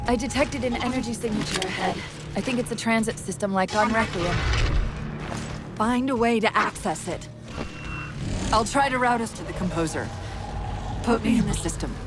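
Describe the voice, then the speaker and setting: A woman plays a young female artificial intelligence in a video game. Her voice is high, urgent and loyal, giving technical directions while she is coming apart. Loud music swells behind her. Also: moderate pace, long pauses, lightly acted. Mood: focused, urgent. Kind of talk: dramatic video game voice acting.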